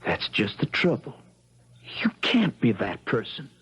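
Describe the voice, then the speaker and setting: Folksy sharp-witted young guy, bit raspy, 70s radio mystery, muffled sound. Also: mid-range voice, wildly swooping pitch, a little slow, heavily acted. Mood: cool, probing. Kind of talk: 1970s American radio drama acting, first-person storytelling and dialogue. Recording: dull 1970s radio broadcast recording.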